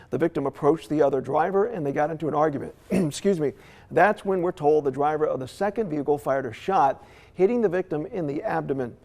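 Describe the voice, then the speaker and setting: An American man anchors morning television news. His voice sits a little higher than most men's. He delivers stories and handles live interviews in a broadcast news style. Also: a little mellow.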